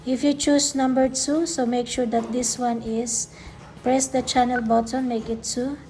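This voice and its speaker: A woman's voice: fairly high pitch, little pitch movement, a little slow, darkish tone, slight background noise. A woman vlogger giving a casual unboxing demonstration, explaining things simply step by step and often repeating herself. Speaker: childcare educator. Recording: background music. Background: music.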